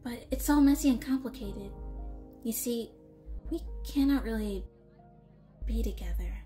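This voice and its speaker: A woman voicing an anxious, lovestruck teenage schoolgirl in a comic dub, flustered and expressive. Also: fairly high pitch, a little slow, soft background music, lightly acted. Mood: flustered, downcast. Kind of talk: animated-style comic dub acting.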